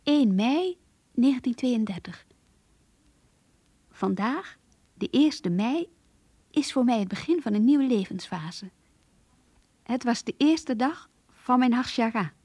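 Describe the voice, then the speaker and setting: An actress playing an earnest, idealistic young woman who reads her diary in a radio play, with a breathy, intimate, measured delivery. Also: a little high, expressive, mellow, no echo, heavily acted. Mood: earnest, reflective.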